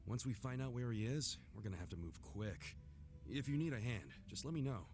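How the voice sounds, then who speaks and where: An actor playing an earnest, blunt, big-hearted tough-guy hero in a video game, with a gruff, hearty voice. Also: mid-range voice, expressive, brisk, music in the background, lightly acted. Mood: supportive, earnest. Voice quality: gruff, gravelly. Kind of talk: video game cutscene acting.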